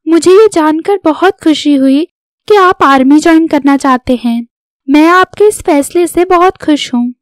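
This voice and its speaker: A supportive young wife from a cartoon family story , played by a woman. The voice is very high and unhurried, politely reassuring, in a dry recording. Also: no room sound. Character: gentle, supportive young wife and daughter-in-law. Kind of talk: scripted Hindi cartoon story dialogue.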